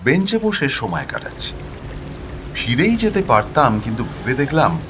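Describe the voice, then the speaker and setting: A man narrating a first-person story in a dramatic, animated and expressive manner. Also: mid-range voice, moderate pace, over music.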